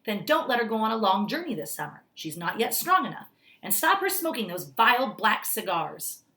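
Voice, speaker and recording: A woman voicing a practical male doctor in a storybook, giving cautioning advice in a firm, measured manner. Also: mid-range voice, big pitch swings, sharp tone.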